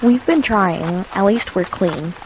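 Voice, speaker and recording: A woman playing a composed, practical survivor leader, measured and direct, in an audio drama. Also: medium pitch, noisy background, natural voice.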